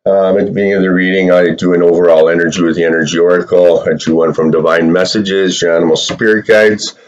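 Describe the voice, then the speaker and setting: A man's voice: low voice, darkish tone, highs cut off. A man giving a casual, chatty tarot reading straight to his viewers, with lots of fillers and the odd swear word. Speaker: tarot reader.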